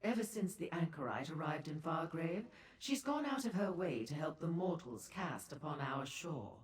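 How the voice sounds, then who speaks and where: A low, sultry demon seductress for a fantasy video game. She is theatrical and worldly, toying with the listener in ornate, patronizing phrases. Her pitch glides widely, and the voice rings with long, echoing reverb. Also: expressive, moderate pace. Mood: knowing, condescending. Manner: theatrical, coy, languid purring delivery with ornate formal phrasing. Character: seductive female dark seducer Daedra merchant, worldly and condescending. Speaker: information broker and proprietor. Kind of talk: video game character dialogue. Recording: echoey hall-like reverb on the voice.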